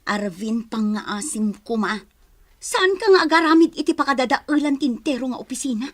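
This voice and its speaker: A woman's voice: sits high, animated, normal speed. A woman with an Ilocano accent playing a worried, reproachful office-worker wife in a radio drama, earnest and pleading. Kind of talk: Philippine radio drama acting.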